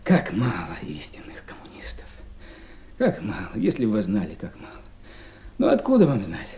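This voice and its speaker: An actor playing a fussy, self-righteous male tenant in a radio play, whining and ingratiating, halting and dropping into mutters and whispers. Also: on the low side, huge pitch swings, mellow. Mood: aggrieved, ingratiating. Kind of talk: Soviet radio play acting.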